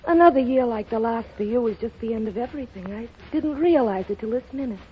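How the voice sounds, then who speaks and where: A woman's voice: a little high, expressive, fast talker, slight background noise, lightly acted. An actress playing a devoted, headstrong young woman in 1930s radio drama style, earnest and emotional. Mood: earnest, resolute. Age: young adult. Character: devoted, headstrong grown daughter in love. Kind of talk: 1930s American radio acting. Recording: dull lo-fi 1930s radio broadcast.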